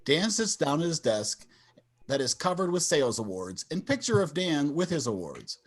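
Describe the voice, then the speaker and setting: A man's voice: fairly high pitch, big pitch swings, moderate pace. A man narrating stage directions in a scripted table read over a video call.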